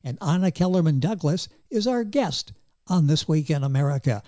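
A man's voice: a little high, expressive, normal speed, warm tone, no echo, studio quality. A man hosting an interview program, genial and upbeat with an announcer's delivery. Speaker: radio talk show host.

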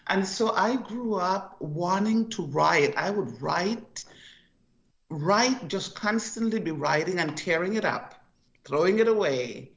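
A woman's voice: on the low side, animated, slow, dull audio. An older woman from Costa Rica, a guest author in an interview, who talks in a chatty, storytelling way and tends to repeat herself. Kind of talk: interview conversation.

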